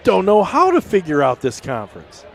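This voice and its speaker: Male sports broadcast sidekick. Voice a little high, folksy and chatty, casual joking banter between game calls. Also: wildly swooping pitch, moderate pace, gravelly. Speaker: football broadcast co-commentator.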